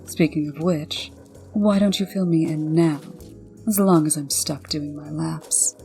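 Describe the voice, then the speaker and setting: A woman's voice: sits low, steady tone, measured pace, gravelly, mellow, faint music behind. A woman playing a proud, impatient woman in charge, stern and clipped, in a comic dub. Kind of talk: comic dub character acting.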